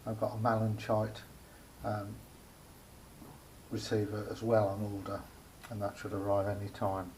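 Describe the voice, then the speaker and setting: A man giving a casual, unscripted hands-on demonstration as a hobbyist, speaking haltingly with pauses in mid-phrase. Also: on the low side, completely flat delivery, darkish tone, poor audio. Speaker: hobbyist showing a homemade radio aerial project. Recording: faint background noise, amateur camera recording.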